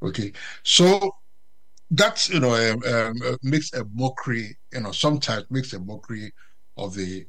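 A man from Ghana giving unscripted commentary as a guest, halting and full of fillers and restarts. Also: mid-range voice, animated, fairly bright tone, rough recording. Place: UK resident.

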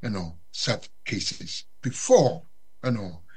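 A man from Ghana giving unscripted commentary as a guest, halting and full of fillers and restarts. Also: on the low side, animated, darkish tone, breathy edge. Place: UK resident.

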